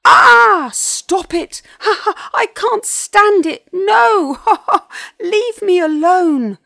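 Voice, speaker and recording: A woman voicing a huge, wicked male dinosaur in a children's storybook, a loud bully with big theatrical delivery. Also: on the low side, slow pace, dry recording, studio quality, full character voice. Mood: panicked pleading. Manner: loud, menacing, gloating.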